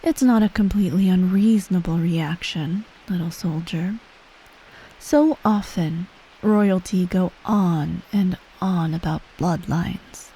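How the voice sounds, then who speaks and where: A woman playing a menacing, coolly doting empress mother in soft, breathy ASMR roleplay, measured and formal, often near a whisper. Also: on the low side, heavily acted, rough recording. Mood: coolly reassuring. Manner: measured, formal, veiled threats, breathy sighs.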